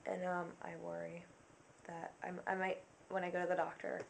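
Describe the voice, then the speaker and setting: A young woman talking casually to camera, rambling through a list with lots of fillers and dropping into quiet, self-conscious asides. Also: lowish voice, monotone, moderate pace, gravelly. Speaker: vlogger. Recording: faint background noise.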